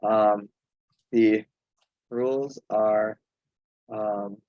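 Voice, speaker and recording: Male meeting chair, slow and halting, informal delivery, dry but dull conference-call sound. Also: lowish voice, steady tone, dry recording. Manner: halting, pausing mid-sentence. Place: remote teleconference.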